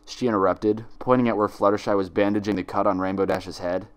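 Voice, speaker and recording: A man narrating a story like a dramatic audiobook, in a theatrical, measured manner. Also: lowish voice, fairly even intonation, clear, resonant tone.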